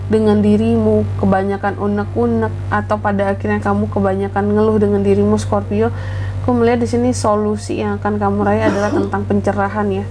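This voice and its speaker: A woman with a cold doing a casual, chatty tarot reading, keeping her voice soft and adding lots of fillers and tag questions. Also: medium pitch, slight background noise, poor audio. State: has a cold, voice kept soft. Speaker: tarot reader.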